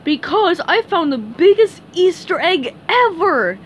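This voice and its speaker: A woman voicing a dim, boisterous, childlike male cartoon starfish in a children's story, loud and eager. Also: extremely high pitch, sing-song, unhurried, slight background noise, voice pushed up, exaggerated voice. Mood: pleased.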